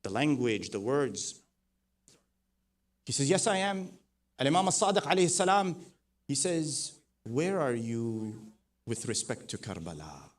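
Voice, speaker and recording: Middle-aged male preacher, recounts a tradition, builds from hushed to forceful. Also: mid-range voice, very animated, a little slow, long pauses. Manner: swells from soft to loud, rising emphatic repetition. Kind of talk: mourning sermon with narrated tradition.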